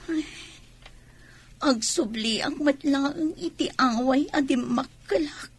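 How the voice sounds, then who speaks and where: Woman acting high-voiced ambitious young village woman, Ilocano. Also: takes it slowly. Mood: resigned. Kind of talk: Ilocano radio drama acting.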